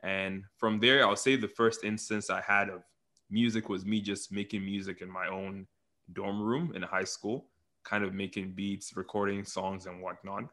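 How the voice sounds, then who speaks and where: A man's voice: fairly low pitch, level tone, moderate pace, bright, forceful tone. A young man from Nigeria, telling his story casually as an interview guest.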